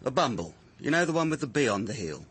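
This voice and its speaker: Brisk policeman over faint background noise. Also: mid-range voice, big pitch swings, a bit bright. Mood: businesslike. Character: eager, deferential junior policeman reporting to his boss. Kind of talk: radio drama acting.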